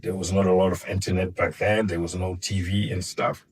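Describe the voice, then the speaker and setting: A man's voice: deep voice, flat delivery, fairly quick, slight background noise. A middle-aged man from Senegal, guest in an interview, speaking hesitantly and often repeating words while he searches for them. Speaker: guest filmmaker. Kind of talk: casual interview.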